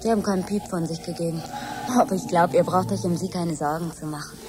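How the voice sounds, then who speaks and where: An actress playing a troubled grown daughter in a German radio drama, halting, anxious and emotional. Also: female voice, fairly low pitch, fairly even intonation, noisy background, lightly acted. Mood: subdued worry.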